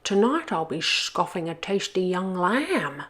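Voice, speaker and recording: Lady doing a sneaky vain wolf for a kids book, slow and gloaty, braggy. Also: fairly low pitch, big pitch swings, fairly bright tone. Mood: gloating. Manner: boastful, gloating. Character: cunning, vain, scheming hungry male wolf. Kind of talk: children's storybook character voice.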